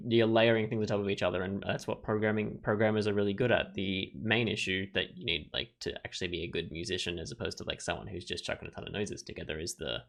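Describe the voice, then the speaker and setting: A man's voice: low voice, vocal fry, a bit bright. A man reading a visual novel aloud as a let's player, mixing narration with casual, rambling commentary. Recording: music behind the voice. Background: game music.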